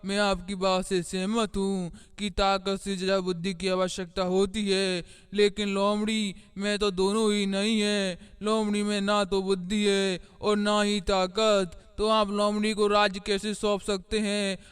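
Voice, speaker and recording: Man as a high, smooth, boastful cartoon stag. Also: little pitch movement, unhurried, hardly any pauses, faint music behind. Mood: boastful, insistent. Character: boastful, scheming male deer rival for the throne. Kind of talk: animated moral story acting.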